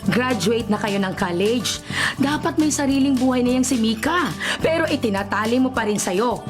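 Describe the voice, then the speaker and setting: An actress plays a middle-aged radio drama mother, fond and given to scolding. Her voice is fairly high and very animated in pitch. Music is clearly audible behind her. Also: moderate pace, lightly acted. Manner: nagging, expressive.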